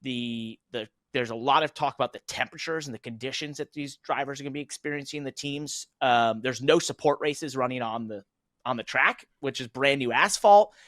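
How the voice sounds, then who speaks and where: An American man with a mid-pitched voice hosts in a casual, conversational style, setting up topics and questions. The recording is dry, with hardly any room sound. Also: fairly quick, fairly bright tone, dry recording. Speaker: talk show host. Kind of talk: casual interviewing and discussion.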